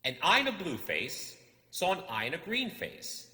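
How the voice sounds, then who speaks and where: A man voicing an anxious but quick-witted little hobbit in a storybook reading, speaking out loudly and emphatically. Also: on the high side, huge pitch swings, pitched above the performer's own voice, full character voice. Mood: eager riddling. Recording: cavernous hall reverb.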